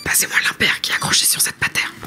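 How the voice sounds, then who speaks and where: A woman playing an excitable, bossy time traveller in an audio comedy serial, theatrical and dropping English words into her French. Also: fast, whispered, lightly acted. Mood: urgent, hushed.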